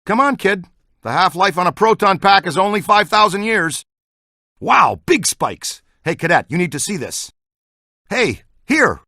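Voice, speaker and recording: Excitable, wildly animated ghost hunter. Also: male voice, sits high, unhurried, dry recording, studio quality, heavily acted. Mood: urgent, excited. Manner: animated, exclamatory, jargon-laden. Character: excitable, eager ghost-hunting scientist barking team orders. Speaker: video game voice actor. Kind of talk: animated video game voice acting.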